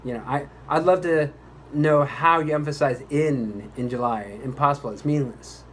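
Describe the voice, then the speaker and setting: A man's voice: mid-range voice, normal speed, fairly bright tone, close to an everyday voice. Male actor as pompous commercial narrator, exasperated and condescending, mid-range voice, slight room noise. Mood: indignant. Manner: grandiose, indignant, condescending. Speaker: voice-over actor. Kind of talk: re-enacted commercial recording outtake.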